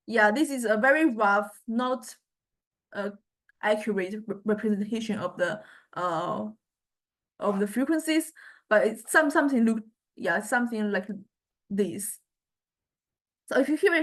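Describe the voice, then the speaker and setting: A woman lecturer giving an informal software demonstration, explaining haltingly and often checking in with listeners. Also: a little high, lively intonation. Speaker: university lecturer.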